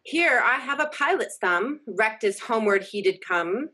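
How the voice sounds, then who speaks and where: A woman's voice: on the high side, lively intonation, moderate pace, pushed and bright, breathy edge, heavily acted. A woman playing an eerie witch, chanting and declaiming verse in an amateur Shakespeare read-through over a video call. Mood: spell-casting. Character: eerie female witch casting spells.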